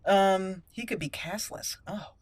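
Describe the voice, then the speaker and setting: A woman's voice: medium pitch, unhurried, breathy edge, no echo. A woman hosting a roleplay let's play, chatty and playful, thinking aloud as she comments on the game. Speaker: YouTube gaming host.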